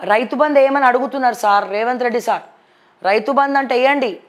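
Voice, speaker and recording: A woman news presenter with a distinctly high voice and a Telangana accent. She reads headlines and comments on them in a conversational, opinionated way, dropping in English words as she goes. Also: fast talker.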